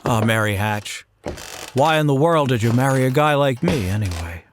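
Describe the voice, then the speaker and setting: A woman's voice: extremely low pitch, animated, normal speed, a little hiss or hum. A woman playing an earnest, flustered young small-town man in a radio drama, stammering and folksy. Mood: tender, flustered. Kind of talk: old-time radio drama acting.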